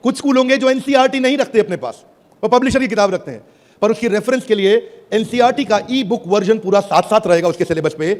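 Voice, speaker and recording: Theatrical high-voiced man on stage. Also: fast. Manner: theatrical, calls out to the audience and prompts replies; swings between booming and hushed delivery. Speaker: business speaker presenting a product launch. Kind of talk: high-energy stage presentation. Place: stage before a live audience. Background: audience.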